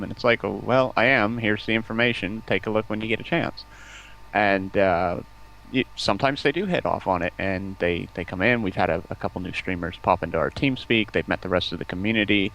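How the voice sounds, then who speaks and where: A man's voice: sits low, fairly bright tone, low-quality recording. A man talking casually as a guest in a stream interview, explaining at length in an enthusiastic, rambling way. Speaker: stream guest, community outreach representative. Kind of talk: casual interview talk about game streaming.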